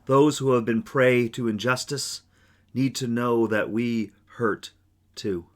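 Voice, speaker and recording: A man preaching as a pastor, speaking in solemn, measured phrases with long pauses. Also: medium pitch, steady tone. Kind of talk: sermon, reflection.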